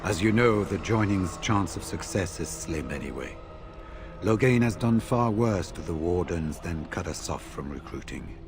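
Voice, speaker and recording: An actor voicing a seasoned, courteous senior warrior in a fantasy video game, speaking in a formal, measured way. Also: male voice, sits low, lively intonation, background noise. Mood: grave, measured.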